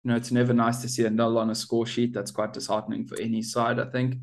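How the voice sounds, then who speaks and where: A man co-hosting a relaxed sports podcast. He talks in an easy, bantering way and often laughs at his own jokes. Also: medium pitch, fast talker. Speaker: podcast co-host. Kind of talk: casual sports chat.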